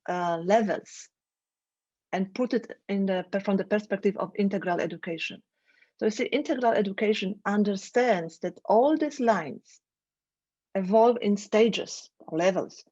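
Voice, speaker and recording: A woman with a Polish accent, a trainer giving a live online lecture, thoughtful and halting, often restarting her phrases. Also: medium pitch, darkish tone, muffled recording. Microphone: video call.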